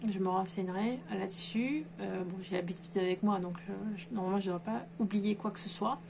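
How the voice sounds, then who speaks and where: A woman's voice: mid-range voice, a little fast, gravelly, darkish tone. A woman chatting casually to camera about her sewing, rambling and hesitant, with many fillers and restarts. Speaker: sewing vlogger. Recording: noisy home recording.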